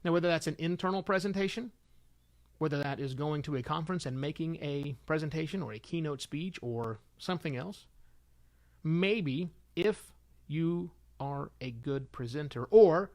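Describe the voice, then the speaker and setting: A middle-aged man hosting a solo show, giving informal advice in an animated, emphatic way with conversational asides. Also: fairly high pitch, normal speed. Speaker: podcast host and consultant.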